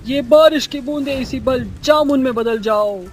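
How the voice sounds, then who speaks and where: A man's voice: very high voice, measured pace, a little hiss or hum, voice pushed up. A man doing a menacing young witch ghost. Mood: commanding. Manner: menacing, commanding.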